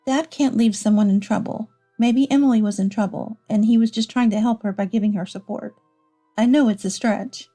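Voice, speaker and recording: An earnest, wounded wife and mother, acted by a woman narrator, speaks pleadingly in a story drama. The voice is fairly high and soft-toned. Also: steady tone, moderate pace, faint music behind, close to an everyday voice. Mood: soothing. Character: adult wife and mother, hurt but protective.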